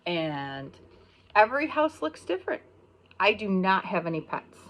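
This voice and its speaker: A middle-aged woman with an American accent, a pre-kindergarten teacher reading a picture book aloud and explaining it simply, pausing on key words. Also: medium pitch, sing-song, fairly bright tone, rough recording.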